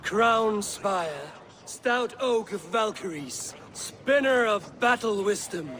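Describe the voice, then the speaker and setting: An actor playing a bold, loyal Viking warrior in a video game, with a direct, commanding manner. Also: male voice, on the high side, lively intonation, normal speed, natural voice. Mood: ceremonial, rousing. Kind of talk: dramatic video game acting.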